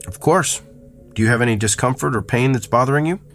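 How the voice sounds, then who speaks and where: A man's voice: mid-range voice, fast, fairly bright tone, soft background music, natural voice. A man playing a self-important, brusque doctor in a radio drama, speaking in an assured, clipped manner. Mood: calm, clinical.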